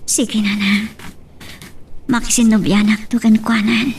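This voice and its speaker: A woman's voice: fairly high pitch, level tone, a little slow, slight background noise, close to an everyday voice. A young woman with an Ilocano accent playing a sorrowful, ashamed wife in a radio drama. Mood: ashamed, subdued.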